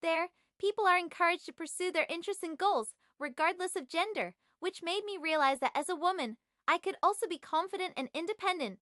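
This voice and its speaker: A young woman from China, whose first language is Chinese, reads a prepared class presentation at a measured, even pace. Her voice sits high and sounds bright and clear. The sound is dry and close. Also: no echo. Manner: measured, evenly read. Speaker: graduate student. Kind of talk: scripted presentation.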